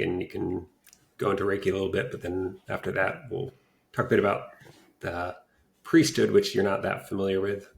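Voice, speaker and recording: A man's voice: deep voice, gravelly. A man hosting a podcast, welcoming a guest and asking loose, rambling questions. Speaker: podcast host. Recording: roomy echo.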